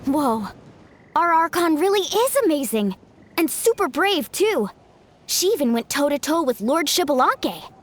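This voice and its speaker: An actress voicing a small, cheerful, excitable girl in a video game, bubbly and wide-eyed in manner. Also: high-pitched, measured pace, a little hiss or hum. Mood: awed.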